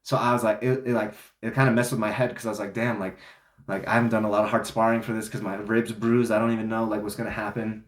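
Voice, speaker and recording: A man's voice: lowish voice, flat delivery, quick pace, echoey room. A young man telling a story in a casual, rambling way, full of fillers and restarts, sounding congested. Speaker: vlogger.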